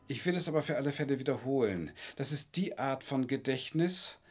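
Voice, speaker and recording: Radio drama: pedantic, rambling male lecturer, mid-range voice, music underneath. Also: medium pitch. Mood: pedantic, apologetic. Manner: pedantic, long-winded, self-interrupting. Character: fussy, rambling male travelling lecturer recounting his ordeal. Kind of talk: German radio play monologue.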